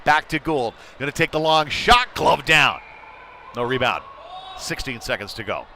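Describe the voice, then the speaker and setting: Male sports commentator, mid-range voice, conversational live hockey call over clear arena noise. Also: mid-range voice, lively intonation, moderate pace, bright, forceful tone. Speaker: play-by-play commentator. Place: indoor youth hockey arena. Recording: noisy arena with clattering ambience.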